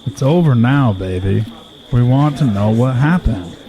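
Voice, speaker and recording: An actor plays an affectionate, easygoing husband in an audio drama. He has a mid-pitched male voice with very animated delivery. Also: unhurried, a crowd in the background, low-quality recording.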